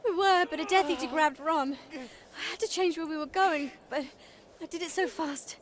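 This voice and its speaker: Very high-voiced earnest teenage witch played by an actress. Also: normal speed, a little hiss or hum, lightly acted. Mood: distressed, guilty. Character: earnest, anxious, bossy, clever teenage witch.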